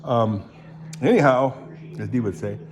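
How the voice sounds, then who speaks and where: A man in his seventies, born in Indonesia, talking in a rambling, halting way, full of fillers and frequent throat clearing. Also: medium pitch, a little mellow, slight background noise. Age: 70s. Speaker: vlogger. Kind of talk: rambling casual monologue.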